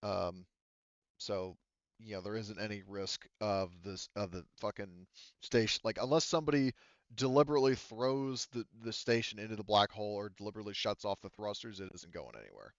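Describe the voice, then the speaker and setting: A man improvising narration as game master in a conversational way, halting as he searches for words and casually profane. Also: fairly low pitch, clean tone, no echo, lo-fi sound. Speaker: game master of a tabletop roleplaying game.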